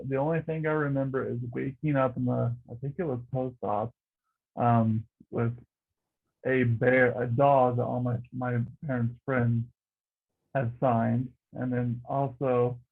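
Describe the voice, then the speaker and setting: Creaky-voiced man, dull lo-fi sound. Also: medium pitch. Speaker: patient panelist. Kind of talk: casual recollection on an online panel.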